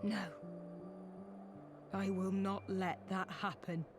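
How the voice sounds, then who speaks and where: A woman's voice: medium pitch, level tone, music in the background, natural voice. A woman playing a grave, zealous rebel in a fantasy video game. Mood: grim resolve. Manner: grave, deliberate, determined. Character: resolute, zealous woman rallying rebels against peace.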